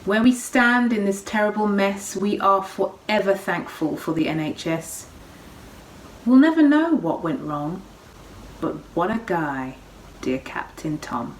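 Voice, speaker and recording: A woman performing spoken-word poetry in a rhythmic, deliberate way. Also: mid-range voice, big pitch swings, far from the mic. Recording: echoey room, off-mic, faint noise.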